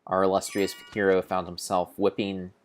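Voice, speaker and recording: A low-pitched man narrates gameplay as if it were a grand epic tale. His delivery is theatrical and solemnly overblown, made up as he goes, with deliberate pauses and the odd corrected word. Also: completely flat delivery, a little hiss or hum. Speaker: narrator of a video game playthrough. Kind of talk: mock-epic dramatic storytelling, improvised.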